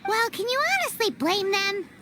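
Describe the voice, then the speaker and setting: A woman's voice: very high voice, normal speed, background noise. A woman voicing a small, childlike fairy companion in a video game, blunt and indignant, in exclamatory bursts. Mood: skeptical. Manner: indignant, exclamatory, speaks in third person. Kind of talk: video game character acting. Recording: loud music behind the voice. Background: loud game music.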